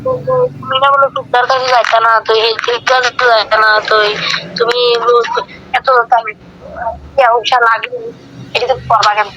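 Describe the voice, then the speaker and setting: A woman's voice: on the high side, steady tone, brisk. A woman with an eastern Bengali dialect complaining in a casual domestic argument on a recorded call, repeating phrases dismissively. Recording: phone call recording with faint noise.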